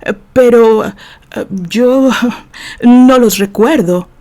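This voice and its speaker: A woman acts out a shifty male witness in a courtroom audio novel. He is nervous and evasive, hedging defensively in a fairly high, mellow voice. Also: takes it slowly. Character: shifty, nervous crooked man on the witness stand.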